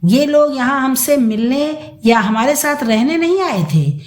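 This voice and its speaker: A woman's voice: high voice, animated, unhurried, a little mellow, pitched above the performer's own voice. A woman voicing a stern, indignant older father in a dramatised audio story. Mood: roaring anger. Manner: indignant, accusing, firm. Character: stern, angry older father rebuking his greedy son. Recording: roomy echo.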